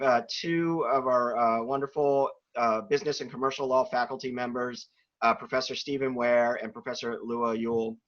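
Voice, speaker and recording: A man hosts a video call and speaks in a hesitant way, filling pauses with ums and uhs. His voice sits at a middle pitch. The audio is dull and lo-fi. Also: a bit bright. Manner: hesitant, many fillers. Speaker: host, admissions dean.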